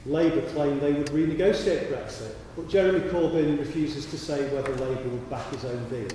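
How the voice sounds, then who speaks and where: A man giving an assertive, brisk campaign speech as a candidate at a hustings in a large church hall. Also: on the high side, mellow. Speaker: parliamentary candidate. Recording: distant, echoey hall with background hiss.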